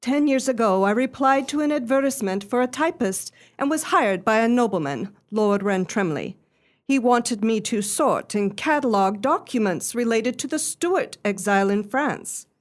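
A woman playing an earnest, genteel young lady in a radio play, telling her story in formal, measured phrases. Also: medium pitch, lightly acted.

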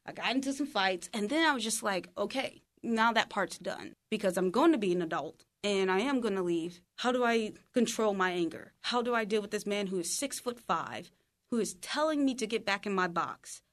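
A woman's voice: mid-range voice, lively intonation, moderate pace. A woman who was formerly incarcerated, speaking in an earnest, reflective way while interviewing a fellow speaker. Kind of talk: conversational interview.

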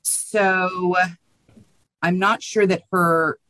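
Measured woman in a dry, close recording. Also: on the low side, steady tone, fairly bright tone, no echo, low-quality recording. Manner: measured, careful. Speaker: podcast guest, writer. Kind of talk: thoughtful interview answer.

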